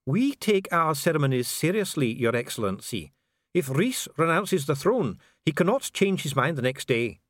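A man's voice: on the high side, big pitch swings, normal speed, dry recording, studio quality, voice pushed up. A man voicing a solemn, disdainful dwarf official in an audiobook, formal, precise and pompous. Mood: stiff, solemn. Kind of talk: audiobook character acting.

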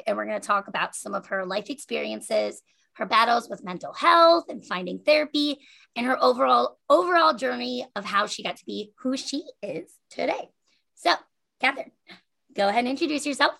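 A woman hosts a casual interview podcast as if chatting with a close friend. Her voice sits fairly high, with plenty of vocal fry. Her manner is effusive and giggly. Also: fairly bright tone. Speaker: podcast host.

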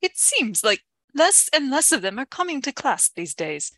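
A genteel, fretful lady professor acted out by a woman, high in pitch and very animated. She is polite and earnest, a little hesitant, in an old-fashioned melodramatic radio play style, recorded close and dry. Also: normal speed, dry recording, exaggerated voice. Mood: worried, earnest. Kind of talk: melodramatic radio drama acting.

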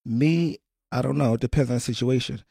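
A young man as a podcast guest, easygoing and quick, agreeing with a lot of "yeah". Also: medium pitch, gravelly, dark tone, slightly rough, no room sound, clean studio sound. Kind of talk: casual podcast chat.

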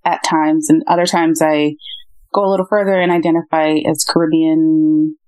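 Measured woman guest, dry close sound. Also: deep voice, little pitch movement, a little mellow, no echo. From Boston, USA. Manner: measured, thoughtful answers with fillers. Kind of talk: interview answers.

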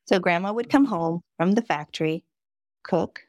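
A woman in her fifties from the Dominican Republic, Spanish her first language, speaks in a fairly low, dry-sounding voice. She tells family stories vividly and often checks in with a quick "right?". The setting is a relaxed podcast conversation. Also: no echo. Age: 50s. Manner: lively, vivid storyteller with frequent "right?" asides. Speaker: podcast guest, physician. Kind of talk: casual conversation, personal storytelling.

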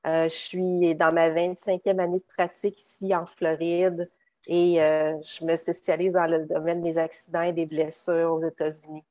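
A woman explains things steadily, as an expert guest would. Her voice sits somewhat low, and she pauses often with fillers. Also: little pitch movement, warm tone. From Quebec. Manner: measured, explanatory, many fillers. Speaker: guest lawyer. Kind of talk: expert explanation in a podcast interview.

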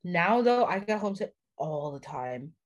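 A woman's voice: lowish voice, normal speed, highs cut off. A young woman co-hosting a podcast, chatting casually and telling self-deprecating stories with energy. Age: young adult. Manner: lively, self-deprecating storytelling. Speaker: podcast co-host. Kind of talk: casual chat.

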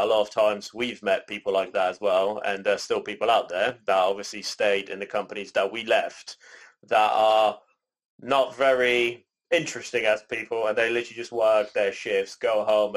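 A man raised in Canada, co-hosting a podcast in relaxed casual chat, rambling with lots of "you know" and "sort of.". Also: fairly low pitch. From born in Poland, raised in Canada. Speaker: podcast co-host.

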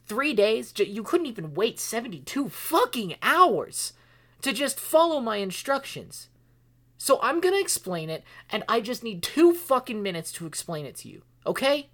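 A woman acting an exasperated, volatile character in an audio drama, pleading in halting, stammering, emphatic speech. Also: fairly high pitch, very animated. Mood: angry, frustrated.

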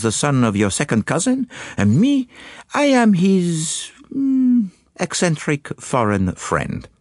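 A man's voice: mid-range voice, no room sound, studio quality, voice pushed up, close to an everyday voice. A man reading an audiobook, voicing a fussy, courteous foreign male detective who speaks precisely and drops French phrases. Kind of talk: audiobook character voice.

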